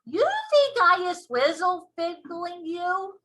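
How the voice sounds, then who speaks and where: Casting: woman to play an emphatic, proud storybook giant, easily offended, forceful tone, quaint broken grammar and nonsense words. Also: very high voice, lively intonation, slow pace, voice pushed up, heavily acted. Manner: folksy muddled grammar with whimsical invented words.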